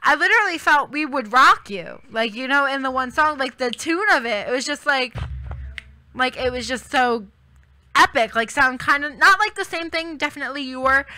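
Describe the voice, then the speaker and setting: A young woman in her twenties hosting a radio interview, giggly and enthusiastic with plenty of fillers. Her voice is high and strikingly bright. The studio sound is close and dry. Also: lively intonation, normal speed, no room sound. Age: 20s. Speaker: radio host. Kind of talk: casual interview chat.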